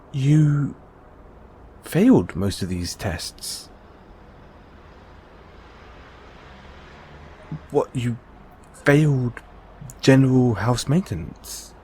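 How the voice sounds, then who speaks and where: A man playing a gentle, caring android owner in an intimate one-sided audio roleplay, coaxing and inquisitive, with long pauses. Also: mid-range voice, slight background noise. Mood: surprised, puzzled.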